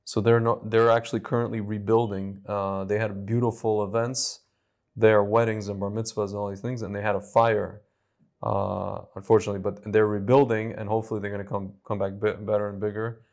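A young man, a wine importer guest, calmly and patiently explaining in a casual studio chat on a lapel mic. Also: fairly low pitch, fairly quick, gravelly. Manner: calm, explains patiently with small restarts. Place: studio set with vineyard backdrop.

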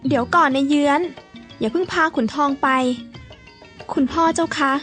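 A woman's voice: sits high, normal speed, fairly bright tone. An actress in a radio drama playing a kind young noblewoman, a dutiful daughter speaking politely and pleadingly. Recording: studio radio drama with music.

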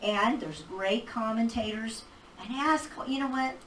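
A middle-aged woman leads a casual Bible class, talking with a handheld mic. Her voice is animated, and she breaks into laughter often. She sounds roomy and distant, as if the camera picked her up from across a small hall. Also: on the high side, measured pace, a little hiss or hum. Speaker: Bible teacher. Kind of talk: casual teaching talk. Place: small church hall with projector screen. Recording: roomy hall recorded from far off.

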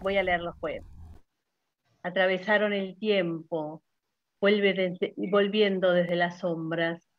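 A woman's voice: fairly low pitch, fairly even intonation, slow pace, no room sound. A woman poet with a Uruguayan Spanish accent, speaking at an online literary event. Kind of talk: poetry reading and introductory remarks at an online launch. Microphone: video call. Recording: dull lo-fi video call audio.

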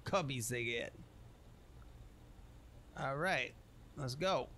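A man's voice: mid-range voice, extremely slow, a bit bright, slight background noise. A man giving casual video game commentary in folksy, slangy speech, muttering along to himself as he plays. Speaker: gameplay streamer.

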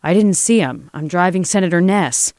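A man's voice: sits high, expressive, moderate pace, darkish tone, no echo, clean studio sound, pitched above the performer's own voice. A man voicing a tense, secretive male driver in a thriller story, clipped and guarded. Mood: guarded, strained.

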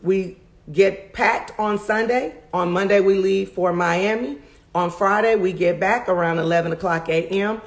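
Raspy, fervent woman preacher. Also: mid-range voice, a little slow. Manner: fervent, emphatic, gesturing while preaching. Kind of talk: sermon recap to camera. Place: home study with bookshelves, indoors.

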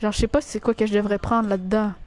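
A woman's voice: medium pitch, fairly even intonation, quick pace, a little mellow. A woman with a Quebec French accent chatting casually while livestreaming a game, slangy and crude in her teasing. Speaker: video game streamer.

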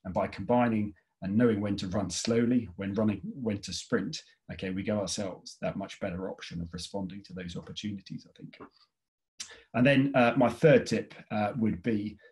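A man in his forties gives advice over a video call from a study at home. His voice sits low and his delivery is calm and measured. He often pauses to check in with his listeners. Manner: calm, measured, frequent "okay?" check-ins. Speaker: webinar speaker. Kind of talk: webinar talk giving tips. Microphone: video call webcam.

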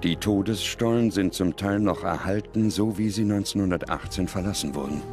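Male documentary narrator, low-pitched, measured script read over music. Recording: music under the voice.

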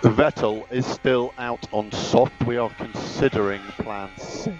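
A man speaking as a race engineer over team radio, giving clipped, measured instructions and repeating the key calls. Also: medium pitch, fairly even intonation, lo-fi sound, music in the background. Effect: team radio transmission.

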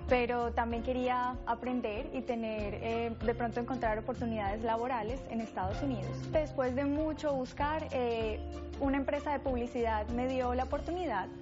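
A woman's voice: a little high, fairly even intonation, normal speed. Young woman, thoughtful, music behind. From Colombia. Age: young adult. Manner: hesitant fillers, thoughtful. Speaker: digital marketing specialist. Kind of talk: documentary interview. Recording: slightly dull audio with music.

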